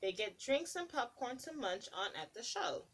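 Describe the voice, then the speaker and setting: A woman's voice: on the high side, sing-song, moderate pace. Sing-song woman reading to preschoolers. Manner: sing-song, asks children questions between pages. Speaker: preschool teacher. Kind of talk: picture book read-aloud for children.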